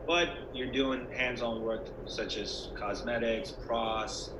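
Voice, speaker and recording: In an echoey, noisy recording, a man talks through an informal presentation as a dentist. His voice sits at a middle pitch, and he explains things conversationally, pausing hesitantly as he goes. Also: fairly even intonation. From born and raised in Iran until age ten, then the United States. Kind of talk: informal online presentation to students. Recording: echoey room with clear background noise.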